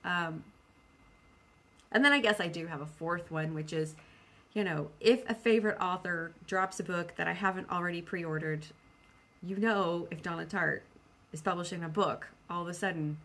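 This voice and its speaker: Woman w a lowish voice doing a chatty book vlog, kinda rambly, thinks out loud. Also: moderate pace. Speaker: host of a book channel. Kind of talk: casual chatty vlog talk to viewers.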